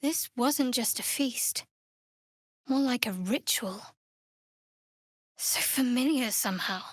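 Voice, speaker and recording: A woman's voice: a little high, takes it slowly, dry recording, studio quality. A woman playing a guarded, sardonic young female cleric in a fantasy video game, speaking in a cool, wry, clipped manner. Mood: guarded, low-key.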